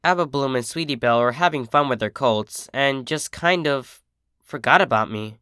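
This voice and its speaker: A man's voice: medium pitch, moderate pace, bright, forceful tone, smooth, dry recording, studio quality, close to an everyday voice. A man voicing a young female pony, an insecure and adoring filly with an earnest, childlike, pleading manner. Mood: lonely.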